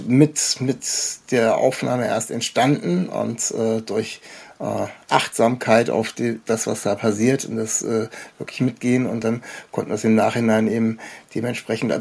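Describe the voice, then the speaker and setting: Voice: medium pitch, fairly even intonation, normal speed, vocal fry, darkish tone, slightly rough. A podcast host chatting casually in an interview, enthusiastic and rambling, often hesitating mid-sentence.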